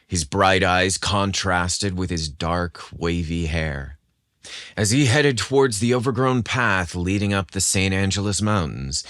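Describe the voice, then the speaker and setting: Deep-voiced male podcast storyteller. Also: a bit bright, no room sound, studio quality. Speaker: podcast host and narrator. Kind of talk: scripted story narration.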